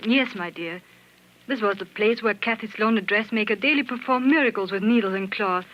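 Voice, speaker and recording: Wistful dressmaker telling a sad tale, played by an actress, old radio. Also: female voice, mid-range voice, natural voice. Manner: measured, sorrowful, theatrical storytelling. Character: wistful dressmaker Marie, telling a tragic story. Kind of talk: 1940s radio acting. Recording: noisy, dull old 1940s radio recording.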